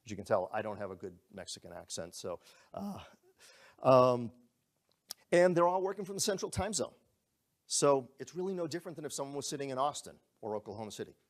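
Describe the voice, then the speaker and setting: A man presents to a gathered group, selling a service with easy, upbeat enthusiasm. His pitch sits in an ordinary middle range, and he keeps the talk loose and conversational rather than scripted. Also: very animated, brisk. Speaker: company presenter. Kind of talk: live sales presentation.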